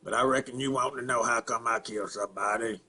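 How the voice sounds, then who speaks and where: A man acting a simple-minded rural Southern man in a halting, plainspoken dramatic film monologue, with a throaty rasp and grunts. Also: medium pitch, fairly even intonation, bright, forceful tone. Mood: flat, matter-of-fact. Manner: halting, repetitive, plainspoken, folksy.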